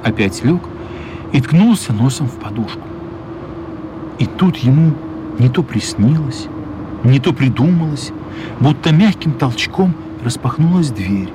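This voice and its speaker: A man narrating a children's radio play as scripted storytelling, measured and expressive, with pauses. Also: mid-range voice, background noise. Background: music.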